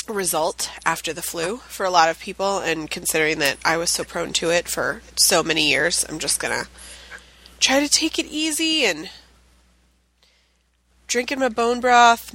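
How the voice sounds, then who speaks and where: Bright-voiced chatty woman podcast host. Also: on the low side, low-quality recording. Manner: chatty and rambling, with frequent ums. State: recovering from the flu, with a chest cough. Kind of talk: casual chat. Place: home office, at a standing desk.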